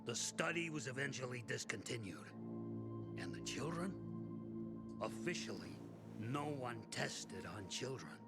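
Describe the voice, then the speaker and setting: Game role: jaded middle-aged survivor, resigned, bitter, very slow with heavy pauses. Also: male voice, mid-range voice, big pitch swings, vocal fry. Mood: grim, brooding. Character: world-weary, resigned male survivor in a plague-walled city. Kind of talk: game cutscene acting. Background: music.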